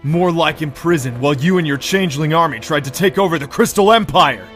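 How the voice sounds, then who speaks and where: A man's voice: on the high side, expressive, a little fast, soft background music. A man playing a distraught, agitated stallion prince defending himself in an audio drama. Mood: furious, threatening. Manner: forceful, agitated.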